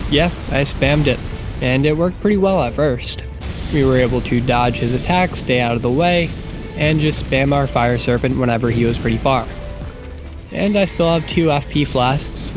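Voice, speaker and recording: A man of ordinary pitch talks through his video game run in a relaxed, chatty way, sounding nasal and stuffed up from an oncoming cold. He pokes fun at his own skill and gets openly exasperated at times, like a casual voice-over recorded at home. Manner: chatty, self-deprecating, exasperated asides. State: getting sick, congested. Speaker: gaming YouTuber. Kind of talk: casual gameplay commentary voice-over.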